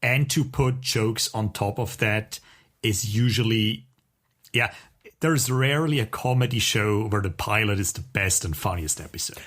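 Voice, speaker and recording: A man's voice: lowish voice, a little slow, fairly bright tone. A man co-hosting a podcast, talking in a casual conversational style. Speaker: podcast co-host.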